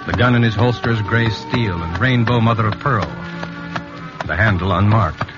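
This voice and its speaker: A man's voice: lowish voice, moderate pace. A man announcing in a dramatic, scripted 1950s radio narration style. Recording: dull old radio recording. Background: music.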